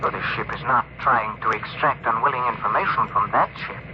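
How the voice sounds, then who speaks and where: An actor playing a gentle, melancholy alien officer in a 1950s radio drama, speaking in formal, deliberate, stilted phrases. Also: male voice, mid-range voice, natural voice. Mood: formal, measured. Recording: noisy, muffled old radio recording.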